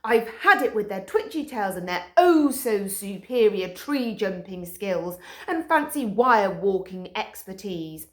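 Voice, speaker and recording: A woman voicing an excitable, hot-tempered male dog who rants in outrage, in a roomy echo. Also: medium pitch. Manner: ranting, outraged, dramatic.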